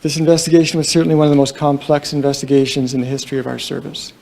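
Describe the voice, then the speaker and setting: A soft-spoken man with a low, mellow tone and a gravelly edge addresses a crowded press conference. He talks in a flat, halting way, pausing often and leaning on fillers. The room is large. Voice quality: quiet voice. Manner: halting, somber, many fillers. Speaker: police deputy chief.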